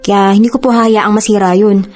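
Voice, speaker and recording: A woman's voice: medium pitch, level tone, moderate pace, a little mellow, faint music behind, natural voice. A woman playing a polite, dutiful young Filipino daughter and elder sister in a Tagalog radio drama. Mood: earnest, grateful. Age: young adult. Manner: polite, earnest.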